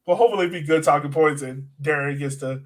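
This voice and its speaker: Male podcast host, fairly high register, loose conversational delivery, question-driven casual sports talk. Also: fast talker.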